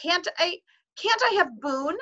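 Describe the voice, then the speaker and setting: A distraught young schoolboy, as a woman reading aloud puts him on, pitched up high and tremulous with bright, sharp edges. He pleads urgently, fighting back tears. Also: animated, slow, dull audio, exaggerated voice. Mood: desperate pleading. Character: upset schoolboy, tearful and pleading.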